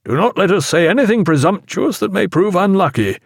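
Man as genial male sea captain, steady, hearty authority, lively intonation, audiobook character. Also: sits high, fairly quick, no echo, studio quality, voice pushed up. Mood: cautioning.

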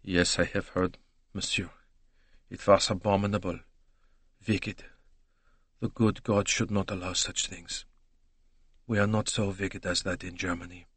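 A man voicing a timid, motherly middle-aged German maid who answers in a hushed, deferential, hesitant way in an audiobook. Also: fairly low pitch, steady tone, slow pace, long pauses, no echo. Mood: subdued.

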